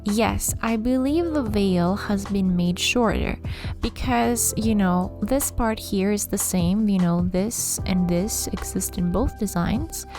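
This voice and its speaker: A woman's voice: medium pitch, slow pace, darkish tone. A woman giving casual, chatty game commentary as a video host, rambling and playful. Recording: music behind the voice. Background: music.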